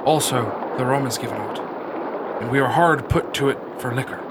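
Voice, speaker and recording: An actor playing a discontented, rough pirate crewman with a complaining delivery in an audio drama. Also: a little fast, lightly acted. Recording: noisy audio with steady rumble.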